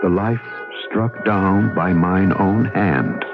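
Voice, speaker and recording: A man's voice: low-pitched, takes it slowly. An actor playing a troubled, melancholy man in an old radio drama, telling his story in brooding, literary phrases. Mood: weary, haunted. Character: troubled, melancholy man recovering from nervous breakdown. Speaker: actor narrating and playing the lead. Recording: muffled old radio broadcast with music.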